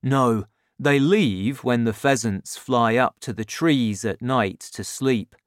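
A man reading an audiobook, voicing a scheming father in a lowered, softer, confiding voice. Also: takes it slowly, dry recording, clean studio sound, natural voice. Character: warm, scheming father, quietly resentful. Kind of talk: audiobook character voice.

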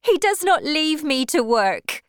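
A proud, troubled young farm woman played by a woman narrator, her voice lifted high and urgent. She protests with distress in a dry storybook recording. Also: lively intonation, slow, a bit bright, no room sound, full character voice. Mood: anguished, defensive.

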